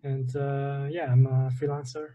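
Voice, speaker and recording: A man's voice: mid-range voice, flat delivery, unhurried, mellow, lo-fi sound. A young man from the Netherlands, a guest on a video call from a bedroom, talking hesitantly and searching for words. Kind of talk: casual interview. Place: bedroom, indoors.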